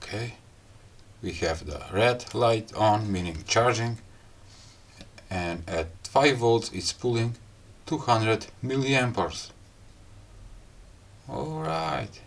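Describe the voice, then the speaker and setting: A man with a non-native English accent giving a casual hands-on gadget demo in short, halting phrases. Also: medium pitch, vocal fry. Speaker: gadget review host. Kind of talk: casual hands-on unboxing and product demo talk.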